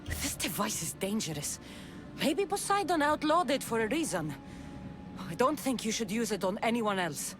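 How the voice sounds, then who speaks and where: An actress playing a blunt, wry, pragmatic warrior heroine of ancient Greece in a video game drama. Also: female voice, on the high side, sing-song, moderate pace. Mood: sober. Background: music.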